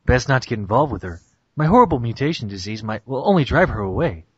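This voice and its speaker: A man's voice: medium pitch, sing-song, fast, husky, no room sound, muffled recording, pitched below the performer's own voice. A man voicing a brooding, self-pitying young superhero with melodramatic delivery, in an amateur cartoon reading over an internet call. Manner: melodramatic inner monologue.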